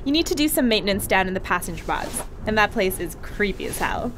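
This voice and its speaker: A woman's voice: mid-range voice, animated, fast, background noise, natural voice. A woman playing a chatty, teasing female crewmate who laughs easily. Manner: bubbly, teasing, laughs often. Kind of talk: audio drama acting.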